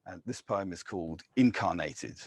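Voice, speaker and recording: An English man giving a measured poetry reading, with soft, hesitant asides between poems. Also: low voice, breathy edge. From England. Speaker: poet.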